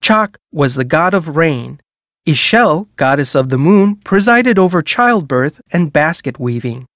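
A woman reading scripted educational museum narration for a video game in a measured, formal, explanatory way. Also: deep voice, lively intonation, no echo, studio quality.

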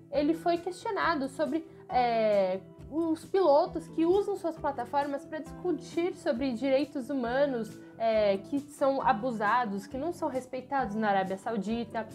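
A young Brazilian woman speaks in a fairly high voice, presenting motorsport commentary to camera. Her delivery is emphatic and expressive, recorded at home with music playing behind her. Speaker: motorsport channel host. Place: small furnished room at home, indoors.

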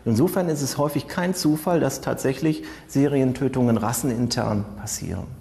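A man giving analytical interview commentary as a criminal profiling expert, in formal, deliberate phrasing. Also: mid-range voice, vocal fry, dark tone, slight background noise. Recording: long room reverb.

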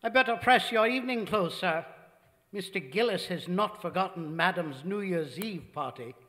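A man's voice: high-pitched, lively intonation, normal speed, sharp tone. An older man playing a grave, formal, devoted manservant with stiff, deferential speech in a stage radio drama. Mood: guarded. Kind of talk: old-time radio drama acting. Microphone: vintage-style stand microphone. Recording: live theater stage, long hall reverb.

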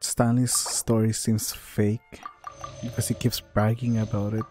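A man's voice: on the low side, level tone, slow, music in the background. A man giving casual gameplay commentary as he plays and reacts. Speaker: gameplay streamer.

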